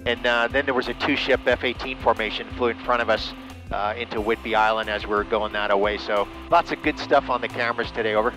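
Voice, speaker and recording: A man chatting over ham radio, relaxed and enthusiastic, with lots of fillers, from a small plane cockpit and his radio room. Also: medium pitch, quick pace, over music, low-quality recording. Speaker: private pilot and ham radio operator. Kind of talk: casual radio chat.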